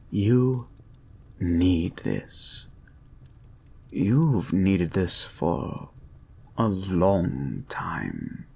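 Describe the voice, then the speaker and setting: A man playing a soothing, possessive and gently controlling therapist in an intimate hypnosis audio roleplay, speaking in a soft, breathy, hushed and deliberate way. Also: sits low, full character voice. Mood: calming, sinister. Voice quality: soft, breathy, husky, often near a whisper. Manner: slow, hushed, deliberate, coaxing.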